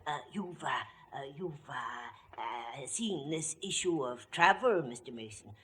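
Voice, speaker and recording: Retributionist, 1940s radio mystery: genial, fussy, husky, highly inflected, politely menacing. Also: male voice, expressive, a little slow, pushed and bright, full character voice. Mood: politely businesslike. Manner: hesitant, fussy, politely precise. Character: fussy, genial, eerily businesslike male retributionist.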